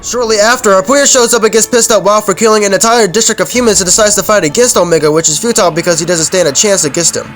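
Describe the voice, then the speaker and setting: Male game reviewer, high register, rapid informal recap narration. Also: fairly bright tone. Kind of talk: fast scripted recap narration over game footage. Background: background music.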